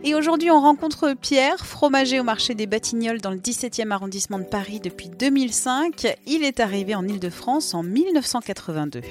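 A woman's voice: on the high side, lively intonation, a little fast, few pauses, soft background music. A woman host reading a scripted podcast introduction.

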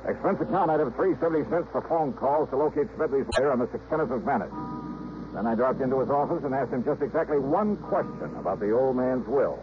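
An American man acting as a brisk, wry insurance investigator who narrates and talks in a conversational, punchy mid-century radio drama style. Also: medium pitch, lively intonation, close to an everyday voice. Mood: musing, brisk. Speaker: lead actor and first-person narrator of a detective radio serial. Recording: noisy, muffled old radio broadcast.

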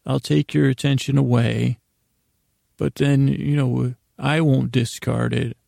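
A man hosting a bedtime podcast in a meandering, halting monologue with a gravelly voice, often interrupting himself. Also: mid-range voice, steady tone, dark tone, dry recording, studio quality. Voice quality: gravelly, creaky. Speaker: podcast host. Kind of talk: rambling bedtime monologue to help listeners sleep.

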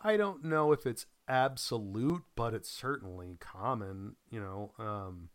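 Smooth low-voiced man chatting casually. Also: expressive, measured pace, no echo. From Boise, Idaho. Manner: rambling, many ums and restarts, swears casually. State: recovering from lost voice, coughing. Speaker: podcast co-host. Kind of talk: casual chat.

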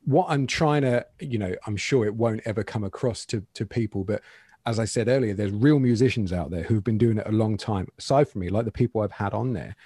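A man in his forties, a guitarist being interviewed, talking in a relaxed, candid and rambling way. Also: lowish voice, brisk, breathy edge, dry recording, clean studio sound. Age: 40s. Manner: relaxed, candid, loose and rambling with frequent "you know what I mean". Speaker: guest guitarist. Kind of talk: casual interview chat.

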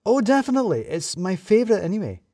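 A man voicing an excitable woman office colleague who gushes like a devoted fan in an audio story. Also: sits high, quick pace, no echo, studio quality, pitched above the performer's own voice, full character voice. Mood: gushing. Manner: gushing, excitable.

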